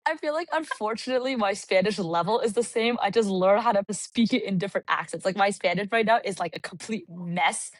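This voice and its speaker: A woman from California, a podcast guest, telling travel stories in an animated, casual way with lots of "like". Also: medium pitch, a little fast, hardly any pauses, dry recording. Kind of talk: casual chat. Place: Puerto Rico.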